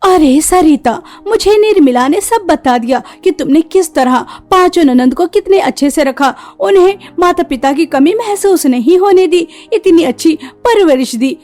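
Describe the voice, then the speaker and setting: A woman's voice: very high voice, lively intonation, a little slow, few pauses, a little mellow. A woman voicing a gracious, approving matchmaking visitor in a cartoon, effusive in manner. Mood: pleased, approving. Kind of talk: cartoon story dialogue. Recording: music behind the voice.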